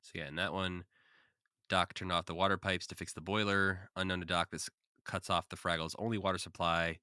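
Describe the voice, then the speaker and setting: A man's voice: low-pitched, flat delivery, a little fast, sharp tone, smooth, no room sound, clean studio sound. A man co-hosting a podcast, casually recapping and commenting with a wry, joking manner. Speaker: podcast co-host.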